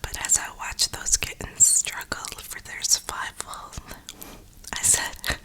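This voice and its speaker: Slow, whispering woman in ASMR style. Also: sharp tone. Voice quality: breathy, unvoiced whisper. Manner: reflective, unhurried, with drawn-out pauses. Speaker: ASMR video creator.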